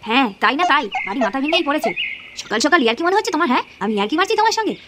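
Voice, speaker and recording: A woman's voice: high-pitched, very animated, very fast, loud music behind the voice. A woman voicing a nagging, thrifty old grandmother and housewife in a comedy cartoon, full of complaints. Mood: irate. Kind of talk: Bengali comedy cartoon dialogue.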